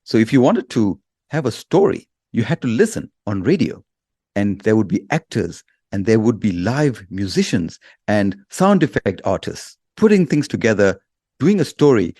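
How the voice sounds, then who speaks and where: Low-voiced man, emphatic and explanatory. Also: very animated, moderate pace, mellow, husky, no room sound, studio quality. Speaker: guest podcasting expert. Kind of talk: explanatory, persuasive talk.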